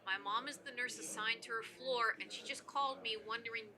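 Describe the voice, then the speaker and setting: A woman's voice: fairly high pitch, fairly quick, crowd noise, lightly acted. A woman playing a young, matter-of-fact friend bearing urgent news in an audio drama. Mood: concerned.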